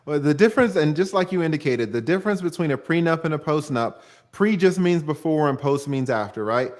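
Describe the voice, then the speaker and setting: A man's voice: a little high, lively intonation, brisk. A man, a divorce attorney, explaining the law in a plain-spoken way on a call-in livestream and using everyday examples.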